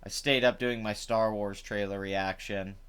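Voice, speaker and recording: Chatty man with a rough, hungover voice. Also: on the low side, fairly even intonation, a little slow, fairly bright tone. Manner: rambling, chatty, many fillers. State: rough voice after drinking. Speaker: live stream host. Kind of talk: casual live stream commentary. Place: home.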